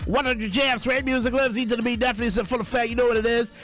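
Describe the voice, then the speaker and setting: Male radio host, high-pitched and rapid, slangy promotional energy. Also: level tone, a bit bright, faint music behind. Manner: slangy hype-style patter. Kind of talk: upbeat radio interview.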